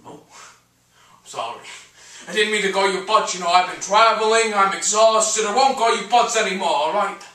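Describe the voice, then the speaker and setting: A brash, theatrical middle-aged male comedian-painter holds forth in a hammy monologue. His voice is high and bright, lurching from stammered muttering to bellowing. It is picked up from a distance in an echoey room. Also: a little fast, hardly any pauses. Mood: rambling, comic. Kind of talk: one-man dramatic monologue on stage.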